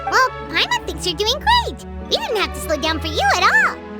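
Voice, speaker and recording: A tiny chirpy fairy companion from a video game, with a very high, squeaky voice that leaps all over the place. She sounds excitable and talks about herself in the third person. Loud background music plays under her lines. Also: moderate pace, exaggerated voice. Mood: cheerful.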